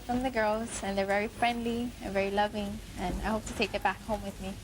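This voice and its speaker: An eighteen-year-old woman introducing herself as a contestant, warm and earnest. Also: medium pitch, flat delivery, a little fast, low-quality recording. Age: teens. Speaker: pageant contestant.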